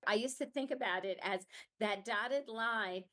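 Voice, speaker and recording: A woman's voice: fairly high pitch, a little slow, bright, forceful tone. A woman hosting a podcast interview, reflective and thinking aloud, with fillers like "you know" and "like". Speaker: podcast host. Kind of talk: conversational interview.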